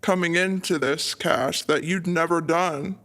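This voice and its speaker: A man speaks as a podcast guest in a casual, conversational style. His voice sits a little higher than most men's. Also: unhurried, sharp tone. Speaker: podcast guest, novice voice actor. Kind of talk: casual chat.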